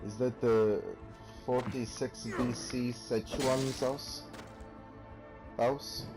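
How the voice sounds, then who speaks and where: A man's voice: mid-range voice, fairly even intonation, drawn-out words, music in the background. A young man giving a sarcastic, profane rant while commenting on gameplay, recorded on a cheap, poor-quality microphone. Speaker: let's play commentator.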